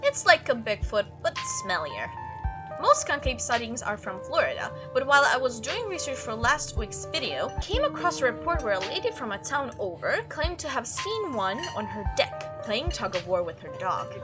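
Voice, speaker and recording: Woman voicing a bubbly, high-pitched young video maker. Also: big pitch swings, normal speed, music in the background. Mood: excited. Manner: bubbly, casual, enthusiastic. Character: upbeat, chatty young woman monster-hunting video maker. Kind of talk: visual novel voice-over read-through.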